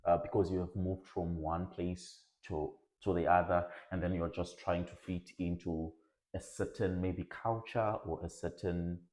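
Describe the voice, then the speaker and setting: Very low-voiced man, hesitant podcast co-host, echoey room. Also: fairly even intonation, a little slow, darkish tone. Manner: thoughtful, hesitant with frequent fillers. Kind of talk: casual conversation.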